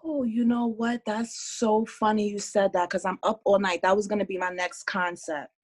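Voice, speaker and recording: A woman's voice: mid-range voice, level tone, normal speed. A woman from Harlem, chatting casually as a podcast guest and laughing often. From Harlem, New York. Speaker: guest, sketch artist.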